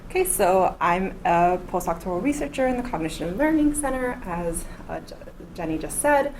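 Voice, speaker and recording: A woman's voice: fairly low pitch, expressive, moderate pace, a little mellow, slight background noise, rough recording. A woman in her thirties from Miami, a postdoctoral researcher giving an academic talk. She explains her points step by step and works through them in order. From Miami, United States. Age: 30s. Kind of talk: academic lecture. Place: lecture room.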